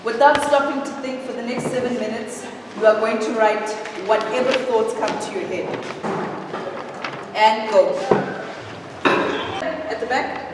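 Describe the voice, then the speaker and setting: A woman's voice: on the high side, unhurried, pauses often. A woman leading a writing workshop, asking the students questions and mixing in local-language words. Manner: lively teacher, asks questions, mixes in local-language words. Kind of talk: leading a class exercise. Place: school hall. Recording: echoey hall, distant mic, background noise.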